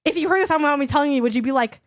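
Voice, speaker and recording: A young woman with a hoarse voice talks through her picks in a loose, rambling way, leaning on "like" again and again. Her voice sits a little high, and the recording is close and dry with no room sound. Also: very fast, no echo. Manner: rambling, heavy use of "like". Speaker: podcast co-host. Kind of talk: casual chat.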